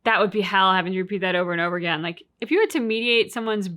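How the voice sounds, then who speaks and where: A woman with a bright, forward voice at a middle pitch hosts a reaction video. She talks casually and informally about a game. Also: rapid-fire.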